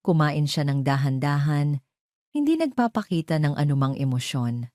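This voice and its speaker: A woman's voice: low voice, animated, normal speed, dark tone, no echo, studio quality. A woman with a Filipino accent reading a scripted, dramatic story as an audio drama narrator.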